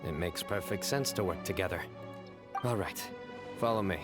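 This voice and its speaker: Man voicing a deadpan stern young judge. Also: deep voice, loud music behind the voice, lightly acted. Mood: serious. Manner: measured, deadpan, dry. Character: stern young male desert judge, dry and serious.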